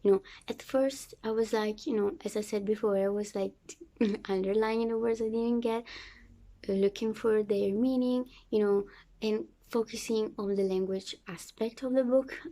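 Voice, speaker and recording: A young woman whose first language is Italian talks through her reading in a relaxed vlog style. Her voice is mellow and warm, sitting at an ordinary middle pitch. She speaks chattily, leaning on fillers and small restarts. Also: normal speed. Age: young adult. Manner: chatty, many fillers and restarts, occasional laughs. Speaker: book vlogger. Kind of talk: casual reading vlog talk.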